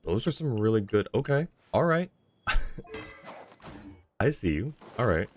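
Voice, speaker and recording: A man's voice: lowish voice, very animated, slow pace, darkish tone, a little hiss or hum. A man chatting casually as a game streamer, thinking aloud in an informal way. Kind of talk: casual livestream chat and game commentary.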